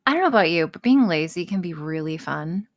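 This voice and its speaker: A woman's voice: fairly low pitch, expressive, fairly quick. A woman voice actress chatting casually and candidly as an interview guest, frank, self-deprecating and free with swearing. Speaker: voice actress and audio creator being interviewed.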